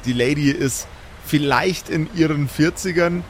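A man's voice: fairly high pitch, unhurried, slight background noise. A man running a tabletop role-playing game as game master, narrating in a wry, amused way.

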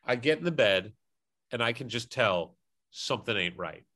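A man's voice: medium pitch, animated, moderate pace, bright, forceful tone. A young man hosting a podcast, telling a story in a joking, teasing way. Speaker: podcast host. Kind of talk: casual storytelling chat.

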